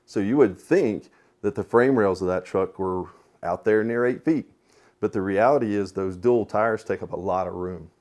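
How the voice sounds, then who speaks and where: A man's voice: fairly low pitch, moderate pace, a little mellow. A man giving an explanatory training talk as an instructor, in a plain-spoken, methodical, step-by-step way. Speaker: police sergeant and crash investigator, instructor.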